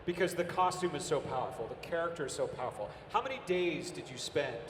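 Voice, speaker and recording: American host asking eager questions. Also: male voice, fairly high pitch, fast talker, noisy background. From United States. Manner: eager, energetic questioning with frequent restarts. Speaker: panel host. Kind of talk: interview questions on a panel. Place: large convention hall stage. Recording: roomy echoing hall, distant from the mic, audible crowd ambience.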